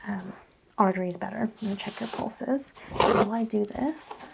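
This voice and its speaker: A woman role-playing a medical examiner in soft-spoken ASMR, murmuring gentle instructions and often slipping into whispers. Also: mid-range voice, creaky, background noise.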